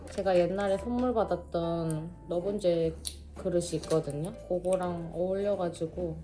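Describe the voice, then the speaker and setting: Chatty young mother showing off new dishes. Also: on the low side. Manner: chatty, cheerful, shows off purchases. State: sore throat from a cold. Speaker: vlogger, mother. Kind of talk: casual vlog chat and voice-over. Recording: background music.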